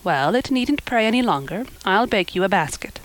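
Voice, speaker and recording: A woman voicing a stern, practical older woman in a storybook, speaking in a brisk, scolding, matter-of-fact manner. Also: mid-range voice, lively intonation, dry recording, natural voice, rough recording. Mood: reassuring. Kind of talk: audiobook character acting.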